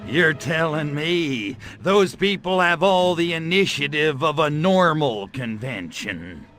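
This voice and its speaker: An actor playing a cocky, wisecracking alien invader in a cartoonish video game, with a sardonic, drawling delivery. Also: male voice, on the high side, expressive, slow, a bit bright, slight background noise. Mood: incredulous, impatient.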